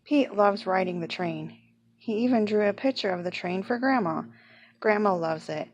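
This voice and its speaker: A woman's voice: mid-range voice, expressive, moderate pace, dull audio. Woman reading a children's storybook, noisy recording. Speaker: storybook reader. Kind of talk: children's picture book read aloud.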